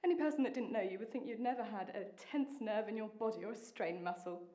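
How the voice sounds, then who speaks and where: A woman with a British accent acting a lonely, bitter, longing young wife in a stage monologue, intense and deliberate, with dramatic pauses. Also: medium pitch, dark tone, close to an everyday voice. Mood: wistful. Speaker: acting student. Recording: echoey room.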